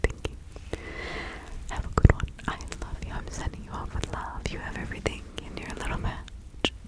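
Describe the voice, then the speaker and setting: A woman voicing a kindly village witch healer in an ASMR roleplay, giving gentle counsel in a breathy whisper. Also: natural voice, poor audio. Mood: caring, instructive. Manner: gentle, unhurried, instructing.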